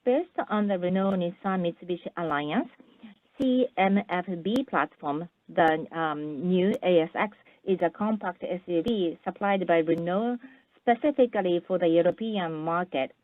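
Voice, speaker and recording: Low-pitched woman on a tinny phone line. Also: moderate pace, dry recording. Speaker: corporate earnings call presenter. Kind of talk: scripted business presentation.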